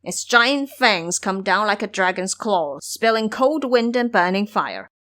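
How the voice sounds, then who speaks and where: Casting: female performer as a male pig monster monk, foolish and full of bluster, lively swings in pitch, audio drama fantasy. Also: a little slow. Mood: boastful taunting. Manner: boastful, pleading when scared.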